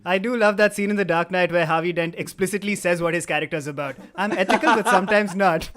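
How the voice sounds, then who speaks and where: A man's voice: high voice, fast, fairly bright tone. A man hosting a comedy table read, chatting casually and narrating stage directions. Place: remote online stream. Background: live guitar.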